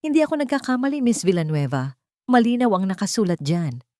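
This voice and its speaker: A woman's voice: mid-range voice, big pitch swings, a little fast, darkish tone, no echo, studio quality, pitched above the performer's own voice, natural voice. A woman voicing a cold, calculating male billionaire boss, curt and emotionless, in a romance audio story. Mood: cold, commanding.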